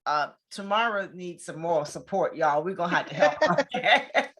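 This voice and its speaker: A woman's voice: lowish voice, lively intonation, slow, bright, forceful tone, breathy edge. A woman hosting a conversational interview show, speaking in an effusive, encouraging way.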